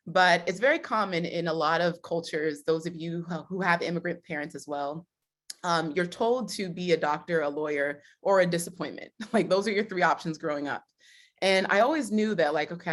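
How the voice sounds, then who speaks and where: A woman's voice: lowish voice, a bit bright. A young adult woman giving an upbeat motivational keynote at a summit, laughing often and stressing her points in lists. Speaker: summit speaker.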